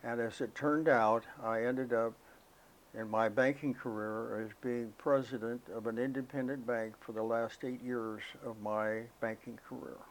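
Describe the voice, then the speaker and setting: An elderly American man recalling his life as a veteran in an interview, speaking in a halting, reflective, unhurried way. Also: medium pitch, fairly even intonation, poor audio. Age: elderly, 80s or older. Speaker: interviewee, war veteran recalling military service. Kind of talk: oral history interview, personal recollection.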